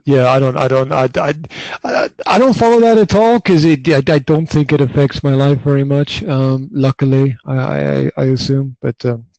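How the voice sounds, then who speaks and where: A man from the north of Scotland with a Scottish accent, hosting a casual podcast chat indoors in his flat, often restarting his sentences and laughing. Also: medium pitch, moderate pace, vocal fry, hardly any pauses, no echo, rough recording. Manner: stammering restarts, frequent laughter. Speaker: podcast host.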